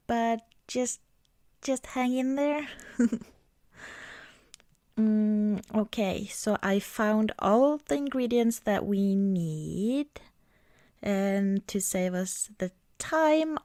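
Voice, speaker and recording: A woman doing an ASMR roleplay as an affectionate girlfriend, chatting casually and hesitantly in a soft, intimate way. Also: medium pitch, dry recording, studio quality. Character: affectionate, cozy girlfriend baking at Christmas.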